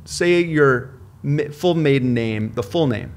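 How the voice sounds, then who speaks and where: A man's voice: medium pitch, animated. Chatty, upbeat man hosting a podcast. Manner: upbeat, chatty, quick to joke. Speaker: podcast host. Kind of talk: casual interview chat.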